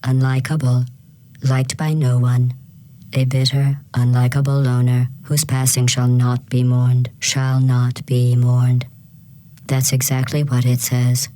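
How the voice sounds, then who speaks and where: Snide game ai woman, really low and flat, talks down to you. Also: slow pace, no echo. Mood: coolly mocking. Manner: deadpan, condescending. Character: passive-aggressive female artificial intelligence, coolly mocking. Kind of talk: scripted video game villain dialogue.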